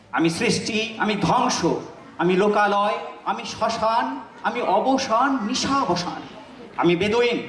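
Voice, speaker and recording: A man recites poetry in a notably high voice, slowly and with dramatic, impassioned emphasis. His declamation sounds set back from the microphone in an echoing space. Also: a little hiss or hum. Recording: roomy echo, distant microphone.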